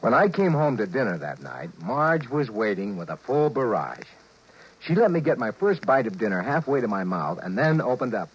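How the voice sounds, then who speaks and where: A man's voice: mid-range voice, big pitch swings, moderate pace, slight background noise, lightly acted. An actor playing a harried, wry husband and businessman in a 1950s radio drama. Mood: wry narration. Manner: flustered, wry. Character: harried, exasperated husband and businessman narrating his woes. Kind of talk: 1950s radio drama acting and first-person narration. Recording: muffled vintage 1950s radio recording.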